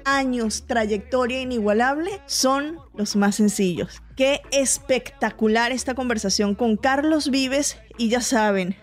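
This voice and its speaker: A woman's voice: a little high, faint music behind. Enthusiastic Venezuelan woman interview host. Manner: enthusiastic, quick to agree and laugh along. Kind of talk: entertainment interview.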